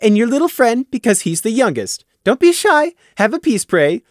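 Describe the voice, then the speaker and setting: A man doing a giddy, high-pitched pony mayor. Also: very animated, no echo, clean studio sound. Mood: bubbly bossy. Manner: bright, bossy, rapid-fire chatter. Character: bubbly, pushy female unicorn mayor.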